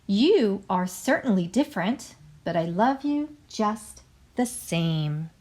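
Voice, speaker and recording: A woman reading a storybook as a gentle, proud mother duck, tender and reassuring. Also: mid-range voice, big pitch swings, slow pace.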